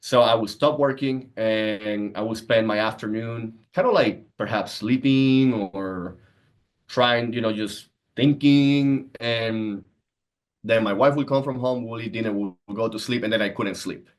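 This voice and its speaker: A man's voice: on the low side, a little slow. A man, explaining and telling personal stories conversationally as a podcast guest. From Venezuela. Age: 30s. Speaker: podcast guest, entrepreneur and coach.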